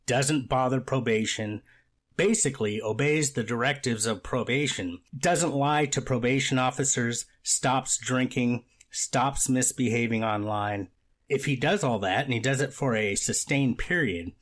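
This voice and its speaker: Judge played by a man, medium pitch, measured, authoritative and firm, courtroom readers theater. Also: lightly acted. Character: stern, measured male judge presiding over sentencing.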